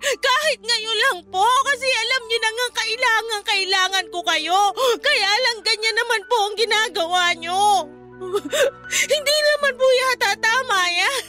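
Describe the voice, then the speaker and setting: A woman's voice: very high voice, a little slow, sharp tone, heavily acted. An actress playing a distressed wife and mother in her thirties, tearful and pleading with her own mother, in a radio drama. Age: 30s. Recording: radio drama with music bed.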